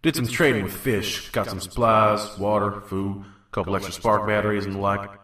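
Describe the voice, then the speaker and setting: A jaded pony trader narrates his wasteland travels , as acted by a man, folksy and drawling. The voice is low and forceful. It sounds roomy and set back from the mic. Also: steady tone, normal speed, lightly acted. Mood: weary, grumbling. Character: world-weary male pony trader wandering a wasteland, gruff narrator. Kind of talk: scripted first-person narration and audio drama. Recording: roomy, echoing, distant mic.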